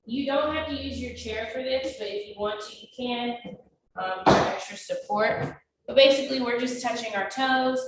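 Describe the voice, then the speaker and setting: A woman instructor leading a chair stretching class with slow, encouraging counts, a little stuffy from a cold. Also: on the high side. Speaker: fitness instructor. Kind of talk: guided exercise class with counting. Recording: very echoey, distant, faint noise.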